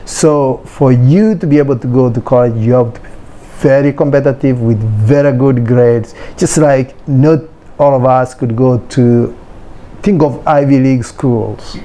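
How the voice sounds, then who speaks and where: A man with a dark, mellow tone explains things patiently. He often hesitates on fillers but keeps an earnest, steady delivery. His pitch stays mid-range. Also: a little slow. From Kenya. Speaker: interviewee. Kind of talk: explanatory interview talk.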